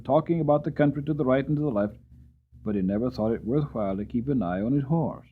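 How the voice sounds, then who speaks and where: Male audiobook reader, dramatic and animated, tinny lo-fi audio. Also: medium pitch, husky. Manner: expressive, drawn-out emphasis. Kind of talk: dramatic storybook reading.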